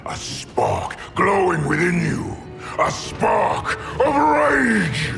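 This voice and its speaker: An actor playing a furious, vengeful alien warlord in a video game, speaking in slow, menacing commands with a growling voice. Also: male voice, high voice, wildly swooping pitch, over music, heavily acted. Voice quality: gravelly, growling. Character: furious alien male Red Lantern, vengeful zealot. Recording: heavy score underneath.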